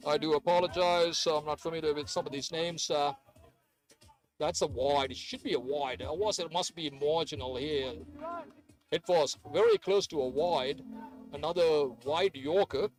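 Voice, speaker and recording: A man's voice: a little high, normal speed, soft background music. A man who grew up in Sri Lanka, giving hesitant, chatty play-by-play of a live cricket match with many fillers. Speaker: cricket commentator.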